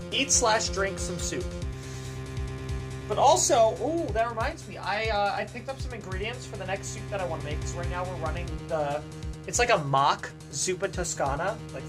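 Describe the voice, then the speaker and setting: Chatty woman streamer with a deep voice. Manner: rambling, chatty, many fillers. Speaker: gaming streamer. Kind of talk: casual chat while playing.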